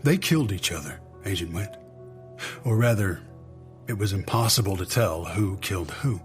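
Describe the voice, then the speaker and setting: Low-key, skeptical agency chief, lively intonation. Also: male voice, normal speed, faint music behind. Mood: grim, emphatic. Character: weary, skeptical, gruff male intelligence agency chief. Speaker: senior agency official. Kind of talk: audio drama acting.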